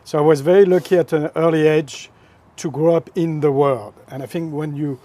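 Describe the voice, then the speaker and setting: A man's voice: on the high side, fairly even intonation, normal speed, mellow. A middle-aged man from France with a French accent, a guest in a casual interview chat, talking in an expansive, philosophical, emphatic way.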